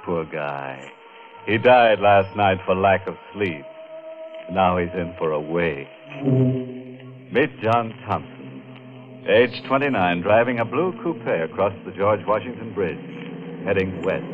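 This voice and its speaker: A man's voice: sits low, expressive, takes it slowly, long pauses. A man playing a gleefully macabre horror host in a 1950s radio show, delivering morbid puns with mock solemnity. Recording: muffled old radio recording, faint music.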